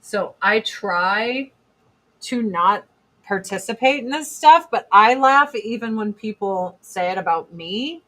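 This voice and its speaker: A woman in her forties with a deep voice, chatting bluntly and profanely on a casual livestream while doing her makeup. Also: expressive, slow pace, a bit bright, rough recording. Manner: blunt, profane, sarcastic, reads chat comments aloud. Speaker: livestream host.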